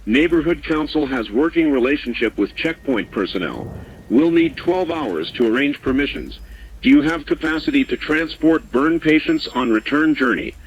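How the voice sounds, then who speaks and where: A man playing a sober underground clinic operator in an audio drama, giving terse, formal, factual reports over a radio filter. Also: on the high side. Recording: dull radio signal with faint noise.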